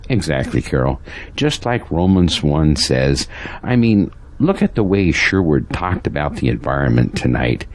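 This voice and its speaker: A man's voice: very deep voice, sing-song, measured pace, a little hiss or hum, full character voice. A man acting as a devout, troubled pastor in an audio drama, speaking with earnest, preachy conviction. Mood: grave, disapproving.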